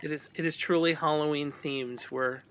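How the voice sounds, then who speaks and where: A man's voice: on the high side, steady tone, moderate pace, fairly bright tone, slight background noise. A man making casual conversation on a podcast.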